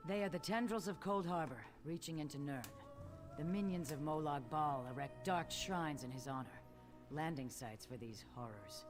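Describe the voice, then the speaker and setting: An actor, a woman, playing an earnest, newly appointed guildmaster in a fantasy game, speaking in a measured, formal way. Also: deep voice, close to an everyday voice. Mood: solemn. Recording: game music underneath.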